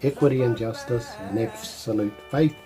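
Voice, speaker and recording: A man, relaxed and rambling, introducing an online song challenge from a small bedroom at home. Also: mid-range voice, moderate pace, music in the background. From New Zealand. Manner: relaxed, rambling, mixes in Māori words. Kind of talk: casual introduction to camera.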